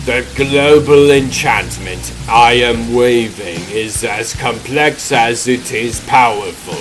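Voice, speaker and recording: Villain sorcerer, male, played by a man: imperious and menacing, very drawn-out pompous lines, music clearly underneath. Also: mid-range voice, natural voice. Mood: coaxing, ominous. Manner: pompous, grandiose, drawn-out commanding delivery. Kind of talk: dramatic comic dub acting. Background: dramatic music.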